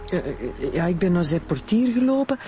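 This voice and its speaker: A woman's voice: lowish voice, expressive, mellow, faint music behind, close to an everyday voice. An actress playing a dazed, distressed woman witness in a radio drama, faltering, with a Flemish accent. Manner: halting, faltering. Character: dazed, distressed woman witness after a road-rage incident. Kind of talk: emotional radio drama acting.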